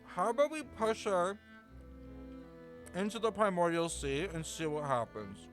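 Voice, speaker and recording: A young man giving casual, gossipy, sweary reaction commentary as a game streamer, very expressive, on a close mic. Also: high-pitched, measured pace, soft background music.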